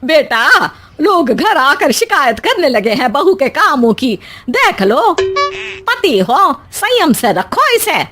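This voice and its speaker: Woman acting a nagging village mother-in-law, very high pitch, scolding, exclamatory, Hindi cartoon moral story. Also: very animated, slow, fairly bright tone, faint music behind, exaggerated voice.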